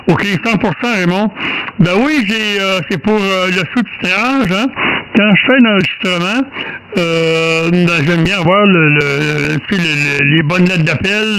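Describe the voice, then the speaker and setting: A man's voice: sits high, pushed and bright, clean tone, rough recording. A man in his thirties with a Québécois accent, chatting casually and joking over an amateur radio contact, with many hesitations. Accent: Québécois French. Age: 30s. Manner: hesitant, full of fillers and joking.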